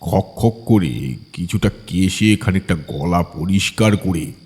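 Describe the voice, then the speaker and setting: A man's voice: sits low, animated, slow, darkish tone, slightly rough. A man narrating a scripted horror story as an audiobook reader. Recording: long hall-like reverb.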